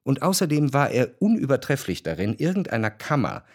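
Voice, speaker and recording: A man narrating a literary radio play as a cultured, wryly ironic observer, measured and articulate. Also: medium pitch, sing-song, dry recording, studio quality. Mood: detached, wry.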